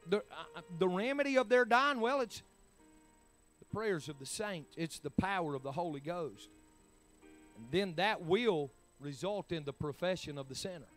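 A man's voice: high voice, animated, normal speed, fairly bright tone, soft background music. A man, preaching a sermon in emphatic, rising bursts. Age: 40s. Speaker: pastor.

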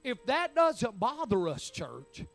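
Preacher guy in his 40s, high voice, gets loud and emphatic, soft instrument playing behind. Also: wildly swooping pitch, slow pace, gravelly, faint music behind. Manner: preaching with rising, emphatic bursts. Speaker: pastor. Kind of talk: sermon.

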